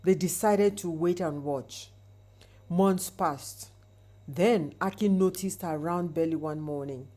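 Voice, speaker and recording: Female storyteller for suspenseful folktales, unhurried and warm-toned, given to dramatic asides. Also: lowish voice. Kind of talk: dramatic scripted folktale narration.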